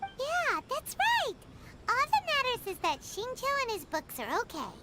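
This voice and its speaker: A woman voicing a tiny, chirpy, curious fairy companion with a squeaky childlike voice in an anime-style video game. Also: wildly swooping pitch, measured pace, heavy background noise, exaggerated voice. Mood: cheerful.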